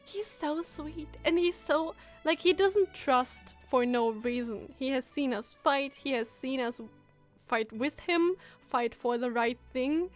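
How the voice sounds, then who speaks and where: High-voiced woman streamer thinking out loud. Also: takes it slowly. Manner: expressive, musing aloud. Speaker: streamer reacting to a game story. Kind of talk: casual live gameplay commentary. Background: game music.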